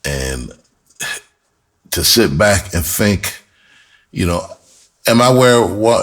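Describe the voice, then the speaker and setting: A man's voice: lowish voice, fairly bright tone. A middle-aged man telling personal stories casually as a host, candid and reflective, with streetwise slang. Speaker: podcast host and music artist.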